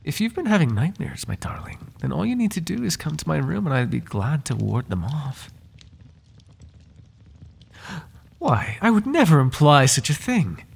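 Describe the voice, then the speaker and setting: A man's voice: a little high, big pitch swings, moderate pace, gravelly, slightly rough, heavily acted. A man playing a devoted, lovesick butler in an intimate ASMR roleplay, flirting with his master in formal, courtly, teasing and tender words. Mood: tender, coaxing.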